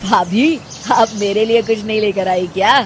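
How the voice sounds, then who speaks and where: A woman's voice: mid-range voice, steady tone, normal speed, a little hiss or hum, natural voice. A woman voicing a childish, pampered young man in a cartoon family story. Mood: pleading.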